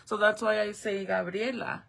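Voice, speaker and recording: A woman's voice: mid-range voice, steady tone, normal speed, vocal fry, a bit bright. A woman whose first language is Spanish, chatting casually with her viewers on a live stream, telling stories and swearing freely.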